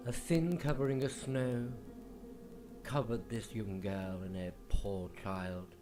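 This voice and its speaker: Slow low-voiced man telling ghost stories. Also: fairly even intonation. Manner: hushed, dramatic delivery. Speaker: storyteller. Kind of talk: slow scripted ghost story narration. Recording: faint music underneath.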